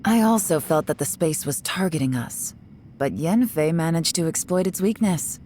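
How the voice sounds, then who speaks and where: A woman voicing a cool, shrewd female investigator in a video game, measured, composed and knowing. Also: sits low, expressive, darkish tone, a little hiss or hum. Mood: composed, analytical. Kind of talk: English game dub voice acting. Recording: game music behind the voice.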